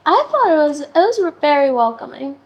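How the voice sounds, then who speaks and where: High-pitched young American woman, informal. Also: sing-song, normal speed, muffled recording. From California, United States. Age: young adult. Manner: informal, peppered with "like". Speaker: recent graduate, visitor. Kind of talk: casual chat.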